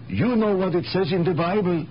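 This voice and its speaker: An actor playing a blunt, moralizing foreign shopkeeper in a radio drama, sardonic and given to repeating short phrases. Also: male voice, high voice, moderate pace, breathy edge. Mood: scolding. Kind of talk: 1970s radio drama acting. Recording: dull, noisy old radio recording.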